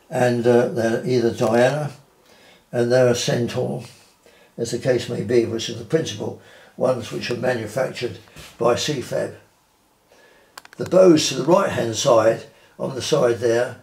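An elderly man, giving an informal guided tour in a halting, measured, formally courteous way. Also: medium pitch, vocal fry. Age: 80s or older. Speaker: collector showing his archery collection. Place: wood-floored indoor collection room. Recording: roomy indoor echo.

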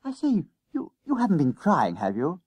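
A man voicing an eager, bossy schoolboy in a storybook, excitable and insistent, full of schoolboy slang. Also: sits high, moderate pace, slightly rough, no room sound, voice pushed up, exaggerated voice. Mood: concerned, indignant. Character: eager, bold, bossy schoolboy hatching schemes.

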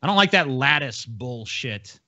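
A man with an American accent and an ordinary mid-pitched voice, recorded close and dry with slightly muffled sound. He talks like a podcast host riffing with friends, blunt and full of swearing. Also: expressive, measured pace, bright, forceful tone, no echo. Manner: profane, blunt. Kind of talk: casual chat, ranting banter. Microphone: close podcast microphone on a video call.

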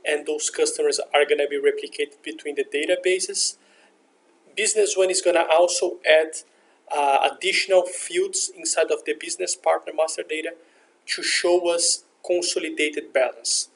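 Guy doing a software walkthrough voiceover, pretty high voice, explains it step by step. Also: steady tone, normal speed. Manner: step-by-step, instructional. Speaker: software demo presenter. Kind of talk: scripted software tutorial narration. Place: screen recording voice-over.

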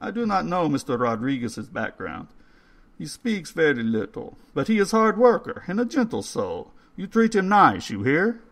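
A man voicing a calm, courteous old male undertaker who speaks in formal, slightly stilted phrases. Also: fairly high pitch, normal speed, gravelly, pitched above the performer's own voice, heavily acted. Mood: amused, insistent.

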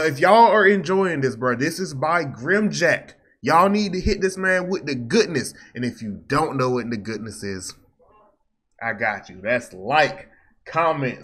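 A young man giving casual, animated, slangy reaction commentary with teasing asides, close to a desk microphone in a small home room. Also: on the high side. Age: young adult. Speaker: reaction video host.

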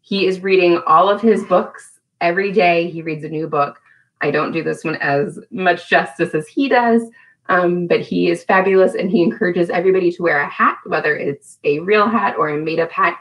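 A woman's voice: lowish voice, normal speed, rough recording. Encouraging woman teaching preschoolers. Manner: encouraging, simple questions to young children. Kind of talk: children's storytime lesson with songs. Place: small room at home.

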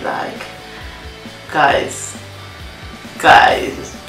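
Young woman, Spanish first language, casual vlog, unhurried, echoey room, faint background music. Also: fairly low pitch, bright, forceful tone. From Spain. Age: young adult. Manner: chatty, repeats her own phrases, many ums. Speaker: vlogger. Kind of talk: casual story-time vlog. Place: indoor room against a plain wall. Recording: roomy echo, faint music.

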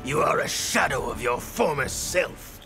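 An actor playing a stern, scornful ninja warrior in a video game, accusing and forceful. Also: male voice, medium pitch, expressive, a little slow, creaky. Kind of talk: video game character dialogue. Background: game music.

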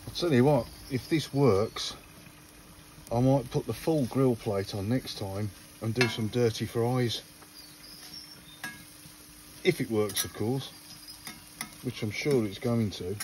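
A man's voice: medium pitch, slow pace, pauses often, background noise. An older man hosting a casual outdoor cooking vlog, chatting to viewers as he cooks at a garden grill. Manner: chatty, talks to viewers while cooking, says "look" often.

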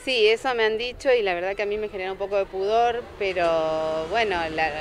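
Calm Argentine woman on a sidewalk. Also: medium pitch. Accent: Argentine Spanish. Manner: calm, measured, with frequent restarts. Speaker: surgical technologist interviewed on the street. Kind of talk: news street interview. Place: city sidewalk outdoors. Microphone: handheld reporter microphone. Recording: faint street noise behind.

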